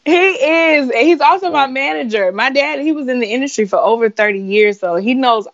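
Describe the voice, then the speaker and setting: A woman in her twenties, a singer being interviewed, chatting casually in a giggly, animated storytelling way. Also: high voice, fairly quick, highs cut off. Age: 20s. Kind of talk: casual chat.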